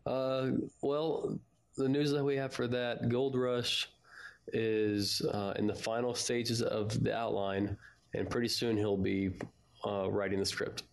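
A man's voice: medium pitch, level tone, slow, gravelly. A man co-hosting a fan podcast, talking casually with a partner.

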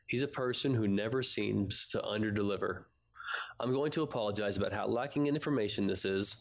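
Low, creaky-voiced man co-hosting a podcast. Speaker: podcast co-host. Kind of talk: casual fan podcast chat, some reading aloud of a listener email.

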